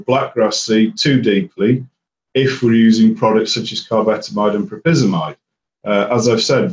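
A man, a herbicide specialist answering webinar questions in a measured, explanatory way with fillers and restarts. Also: on the low side, a little mellow. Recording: roomy, distant and dull audio.

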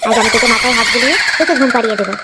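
A woman's voice: fairly high pitch, rapid-fire, heavy background noise, close to an everyday voice. A woman voicing a protective, vengeful ghost mother who is tender with her daughter, in a dramatic cartoon style. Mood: tender, reassuring.

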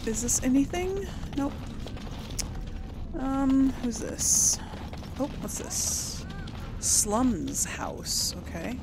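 Slow-talking woman narrating a game. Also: high voice, sing-song, background noise. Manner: chatty, giggly, musing aloud. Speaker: let's play host. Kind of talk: casual game commentary and role play. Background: game music.

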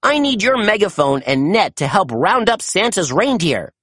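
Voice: medium pitch, sing-song, moderate pace, fairly bright tone, slightly rough, no echo, studio quality, pitched above the performer's own voice. A storybook narrator voicing a confident boy team leader who gives earnest, reassuring commands. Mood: commanding.

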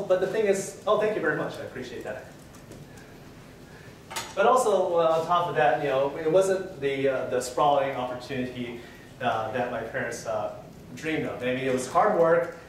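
A man's voice: on the high side, heavy reverb, a little hiss or hum. A young man from Taiwan giving a joking, self-deprecating conference talk with lots of fillers, recorded from far back in a meeting room. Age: young adult. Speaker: conference presenter. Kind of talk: humorous presentation talk. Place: conference room with projector screen. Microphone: far camera.

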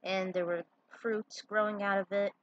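A woman with a husky voice narrating a story and dropping in casual asides. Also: medium pitch, level tone, normal speed, muffled recording. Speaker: fan fiction writer reading their own story.

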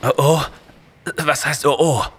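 An actor playing a cool, casual space commander in a retro sci-fi radio drama, talking in a wry, chummy way. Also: male voice, on the high side, measured pace, vocal fry, fairly bright tone, husky, full character voice. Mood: irritated.

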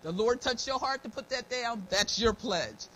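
A woman's voice: fairly high pitch, expressive, moderate pace, a bit bright. American woman preacher, noisy live recording. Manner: folksy, devout, repeating phrases of thanks. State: slightly scratchy, dry throat. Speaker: church elder preaching. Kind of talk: informal sermon with personal testimony and prayer. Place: church meeting room, at a pulpit.

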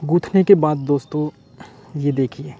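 A man's voice: on the high side, moderate pace, dark tone, lo-fi sound, soft background music. A man hosting a fishing channel, chatting his way through a bait-making demonstration outdoors by a river, and he keeps addressing his viewers as friends. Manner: chatty and repetitive, often says "friends". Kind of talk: casual how-to demonstration.

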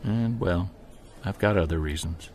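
An actor playing a driven, weary Bigfoot investigator in an audio drama, earnest and conversational. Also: male voice, low-pitched, a little slow, a little mellow, slight background noise. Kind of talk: audio drama, first-person narration and dialogue.

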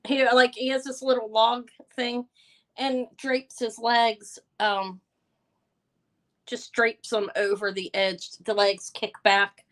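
Loud, bright-voiced woman hosting a live sale. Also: a little high, flat delivery, slow pace. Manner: chatty, rambling, loud voice. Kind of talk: casual live-stream chat greeting viewers.

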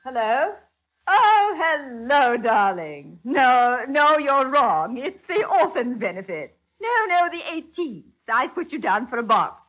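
A sentimental, scatterbrained charity lady from a 1940s radio drama. She rambles in a fluttery, highish voice with big swoops of pitch. The old recording sounds dull. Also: very animated, takes it slowly, heavily acted. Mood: breezy, distracted.

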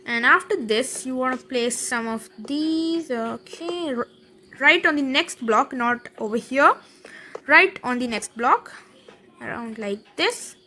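Woman, clear bright tone, game tutorial voice-over, thinking aloud, laughs now and then. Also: sits high, animated. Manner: chatty, fillers, quick laughs, thinks aloud while building. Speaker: Minecraft building tutorial creator. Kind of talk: casual game tutorial narration.

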